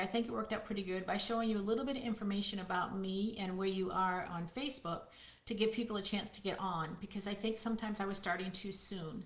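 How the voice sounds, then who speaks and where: A middle-aged woman with a loud, projecting voice, chatting casually with viewers as she hosts a live card-making stream. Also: medium pitch. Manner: chatty, friendly, talks to viewers by name. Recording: echoey room.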